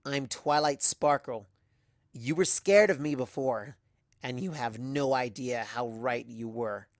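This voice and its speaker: A story reader voicing a defiant, determined young female pony heroine with emphatic, deliberate declarations. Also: mid-range voice, no echo, clean studio sound, lightly acted. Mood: defiant, resolute.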